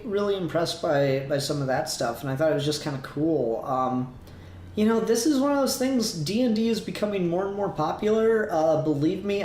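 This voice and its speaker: A man's voice: fairly high pitch, darkish tone. A man casually discussing a review as a podcast co-host, rambling and thinking aloud as he goes. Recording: roomy echo, clear background noise.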